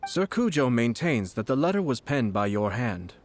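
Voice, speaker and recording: An actor voicing a composed, courteous nobleman official in a video game, formal, measured and subtly sly. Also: mid-range voice, sing-song, lightly acted. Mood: tactful, sly. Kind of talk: video game voice acting, scripted dialogue.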